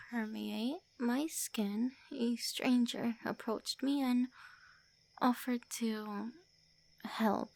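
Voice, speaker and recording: A woman voicing a lonely, prickly female vampire who is centuries old, in an ASMR roleplay, often speaking softly or in whispers. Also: on the high side, vocal fry, heavily acted. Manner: tsundere, sharp then wistful.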